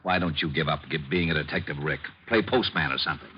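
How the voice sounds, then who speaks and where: An actor playing a gruff, weary police lieutenant with a dry, exasperated, bossy manner in a radio detective drama. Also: male voice, extremely low pitch, fast talker, a little hiss or hum. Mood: weary, sardonic. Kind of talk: 1940s-50s radio detective drama acting. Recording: muffled old radio recording.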